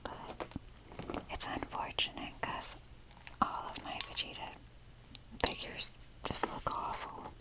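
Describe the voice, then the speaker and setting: A woman whispering in a breathy voice as she rambles casually and wryly in an informal, fannish ASMR style. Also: takes it slowly, long pauses, rough recording. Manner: informal, fannish, wry, mildly sweary. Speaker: ASMR video creator showing a toy collection.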